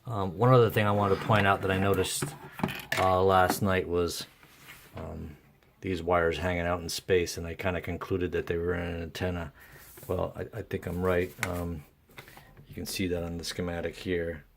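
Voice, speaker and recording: A man with a low, deep voice gives unscripted repair commentary. His intonation stays very flat. He speaks haltingly, working through his thoughts aloud in an informal, salty way. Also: vocal fry, noisy background. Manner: halting, thinking aloud, mildly profane. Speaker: host of a radio repair video.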